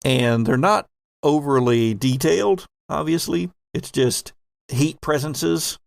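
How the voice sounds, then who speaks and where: Gravelly man running a tabletop game. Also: on the high side, slow, dry recording, studio quality. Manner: conversational narration, addresses players directly. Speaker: game master. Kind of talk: tabletop game narration, improvised.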